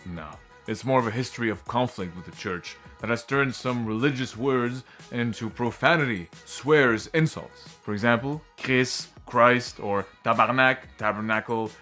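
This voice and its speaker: A man, explaining slang with animated, emphatic interjections in a humorous clip. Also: mid-range voice, moderate pace, few pauses, faint music behind. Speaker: language explainer.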